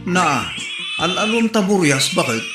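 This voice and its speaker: A man's voice: high-pitched, very animated, unhurried. A man with an Ilocano accent playing a teasing, advice-giving older father in a comedy audio drama. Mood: chiding. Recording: roomy echo, music underneath.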